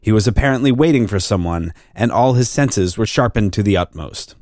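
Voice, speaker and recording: A man's voice: fairly low pitch, animated, fairly quick, darkish tone, no echo, clean studio sound. A man giving a formal, dramatic scripted narration in a storytelling manner. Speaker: actor reading as narrator. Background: music.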